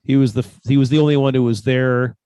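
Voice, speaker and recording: A man in a relaxed group discussion among cast members, speaking hesitantly as he thinks things through. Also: mid-range voice, fast talker, clear, resonant tone, dry recording. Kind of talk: casual group conversation.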